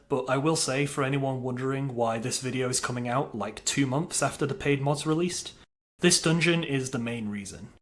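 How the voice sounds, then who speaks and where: A man delivering scripted narration for a video game review, with a wry, dryly humorous manner. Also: mid-range voice.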